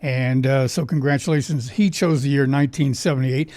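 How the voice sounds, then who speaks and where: A man's voice: medium pitch, fairly even intonation, fairly quick, darkish tone, dry recording. A man hosting a casual oldies trivia show on the radio, talking in a chatty, folksy, conversational way. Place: radio studio.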